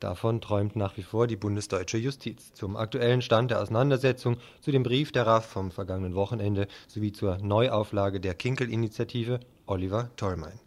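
A man's voice: fairly low pitch, brisk, hardly any pauses, a little mellow. A man working as a radio news presenter, reading reports and introductions from a script.

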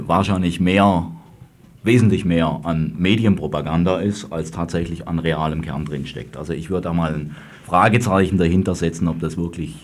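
A man speaking as a lawyer in a radio panel discussion, deliberating haltingly as he goes. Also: low voice, darkish tone. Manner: halting, deliberating with hesitant pauses.

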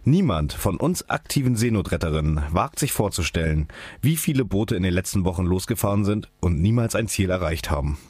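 A man's voice: sits low, expressive, brisk, darkish tone, husky, no room sound. A man, an activist, reading out a scripted political statement. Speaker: activist speaker. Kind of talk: scripted political speech read aloud.

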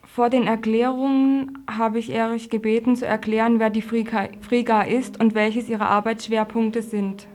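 A woman's voice: fairly high pitch, little pitch movement, moderate pace, hardly any pauses, darkish tone, dry recording. A woman presenting and interviewing for a radio news programme, asking questions in a factual way.

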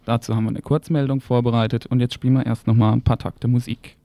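A man's voice: medium pitch, level tone, quick pace, creaky, loud music behind the voice. A man reading scripted news commentary as a community radio presenter in a studio. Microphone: single shared studio microphone.

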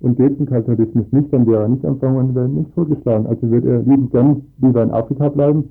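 A man's voice: medium pitch, fairly even intonation, very fast, creaky. A man taking part as a resident in an informal radio discussion, arguing emphatically with repeated, piled-up phrases. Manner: emphatic, repetitive, argumentative. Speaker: interviewed local resident. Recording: tinny narrowband archive radio recording.

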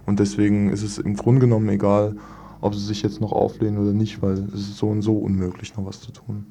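A young male student guest speaks spontaneously in an interview. His voice is extremely dark and somewhat low, with little variation in pitch, and he circles back over phrases. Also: completely flat delivery, fairly quick, vocal fry, a little hiss or hum.

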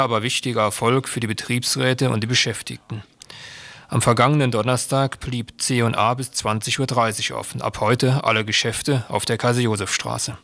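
A man's voice: mid-range voice, fairly bright tone, no echo. Man reading news in a dry studio. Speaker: radio news presenter. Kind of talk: scripted news narration.

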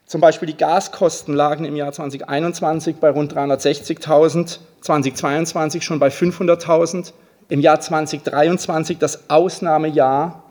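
A man's voice: fairly high pitch, darkish tone. A man speaking as a city official, delivering a formal prepared speech.

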